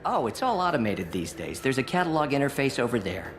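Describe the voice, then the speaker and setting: A man playing an eager, chatty, deferential software shopkeeper in a video game, polite and enthusiastic. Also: mid-range voice, big pitch swings, brisk, noisy background. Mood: eager, impressed.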